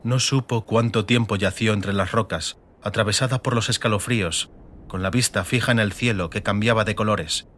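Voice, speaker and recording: Low-voiced man solemnly narrating fiction. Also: a bit bright. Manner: solemn, short measured phrases. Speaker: audiobook narrator. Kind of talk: dramatic scripted fiction narration.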